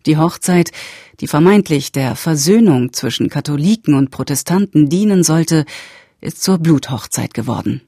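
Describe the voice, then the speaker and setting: A man giving a formal, measured scripted narration as the announcer of a radio drama. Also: on the high side, expressive, dark tone, husky, dry recording, studio quality.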